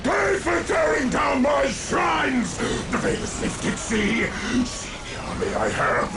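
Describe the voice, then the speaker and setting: An actor playing a fanatical, raging chaos warlord in a video game, ranting in a grandiose, theatrical way. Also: male voice, very high voice, huge pitch swings, shouting, full character voice. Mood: wrathful. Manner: ranting, drawn-out, grandiose delivery. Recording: heavy battle noise behind.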